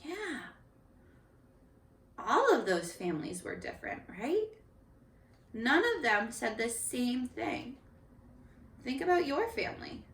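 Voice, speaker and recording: A woman reading a picture book aloud to young children in an expressive, instructive way, speaking to them directly as a teacher. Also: on the high side, takes it slowly, long pauses. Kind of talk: read-aloud for young children with questions to the listeners. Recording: echoey room, distant microphone.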